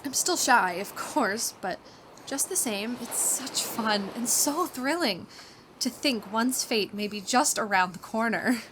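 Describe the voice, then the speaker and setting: A shy, romantic spinster in a vintage radio play. Her voice sits fairly high and gushes with breathless, girlish excitement. The old recording carries a light layer of noise. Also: unhurried. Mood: thrilled, hopeful. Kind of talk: 1940s radio acting, diary narration and dialogue.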